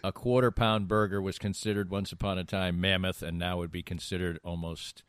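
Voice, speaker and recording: A man's voice: low-pitched, little pitch movement, a little fast, a bit bright, clean tone, no room sound. A middle-aged man co-hosting a podcast, telling stories in casual banter with a rambling, emphatic delivery. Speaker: podcast co-host.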